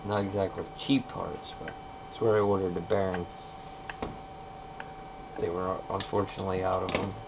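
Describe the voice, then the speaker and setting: A man's voice: low-pitched, level tone, moderate pace, long pauses. An American man giving a casual, plain-spoken, hands-on walkthrough of a repair in a workshop. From California, USA. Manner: plain-spoken, matter-of-fact. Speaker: machinist demonstrating a repair. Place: machine shop, indoors. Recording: noisy amateur workshop recording.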